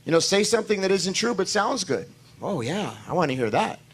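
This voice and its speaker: Hoarse high-voiced preacher man. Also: very animated, a little fast, slight background noise. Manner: preaching with rising emphatic declarations. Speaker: pastor. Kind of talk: sermon. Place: church.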